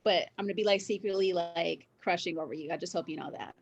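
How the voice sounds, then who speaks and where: A woman's voice: fairly low pitch, quick pace, gravelly. A woman chatting casually as the co-host of a show. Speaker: podcast co-host. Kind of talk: casual chat.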